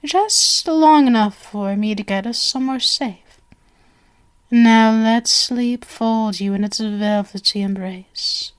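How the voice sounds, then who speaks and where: A woman playing a genial but sinister stage magician, possessive and doting, with flowery, coaxing endearments in an ASMR roleplay. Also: fairly high pitch. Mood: soothing, sinister.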